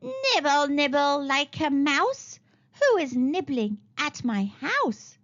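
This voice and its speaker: A wicked old storybook witch, acted out by a woman, croaks and cackles in a high voice. She draws her words out slyly and swings her pitch around, pretending kindness while she schemes. The recording is slightly dull. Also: very animated, takes it slowly, fairly bright tone, full character voice. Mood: wheedling.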